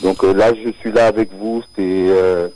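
A singer from Martinique, born near Paris, talking as a guest on a live radio show, hesitant, with many fillers and restarts and frequent laughs. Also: male voice, lowish voice, fairly even intonation, normal speed, mellow, rough recording. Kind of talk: live radio interview, casual chat. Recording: radio broadcast audio.

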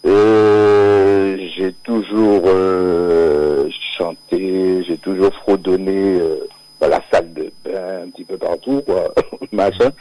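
A man with a lowish voice speaks slowly and haltingly, full of fillers and restarts, laughing now and then. He is a singer from Martinique who grew up near Paris, heard over a live radio broadcast. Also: fairly even intonation, mellow, poor audio. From Martinique, born near Paris. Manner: hesitant, many fillers and restarts, laughs often. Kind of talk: live radio interview, casual chat.

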